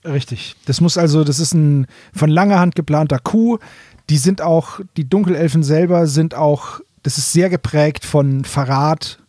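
Higher-pitched man explaining things casually. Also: moderate pace, warm tone, dry recording. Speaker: podcast co-host. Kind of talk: casual explanatory podcast chat.

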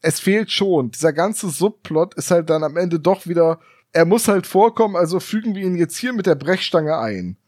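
A man's voice: a little high, expressive, normal speed, a little mellow, dry recording, clean studio sound. A man co-hosting a podcast, talking casually and reasoning things out as he explains. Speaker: podcast co-host. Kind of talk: casual discussion.

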